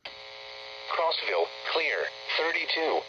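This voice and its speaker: A man's voice: a little high, big pitch swings, slow, bright, forceful tone, muffled recording. A male announcer reading an automated weather forecast bulletin, played through a weather radio speaker. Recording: very noisy small radio speaker playback.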